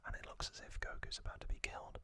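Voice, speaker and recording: A man speaking entirely in a breathy, unvoiced whisper, telling a story in halting short phrases as an ASMR host. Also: pushed and bright, no room sound.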